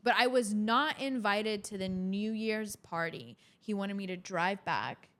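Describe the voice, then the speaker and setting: A woman's voice: medium pitch, expressive, unhurried, fairly bright tone. A young adult woman from Chile co-hosting a podcast, telling personal stories in a casual, conversational way. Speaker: podcast co-host.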